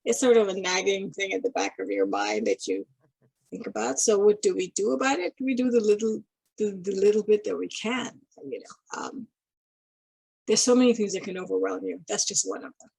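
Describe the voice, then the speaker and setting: A middle-aged woman from Guyana speaking in a halting, thoughtful way, pausing and restarting as she reflects. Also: medium pitch, lively intonation, creaky, a little mellow, slightly rough, no echo, low-quality recording. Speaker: interviewee. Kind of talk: casual reflective talk.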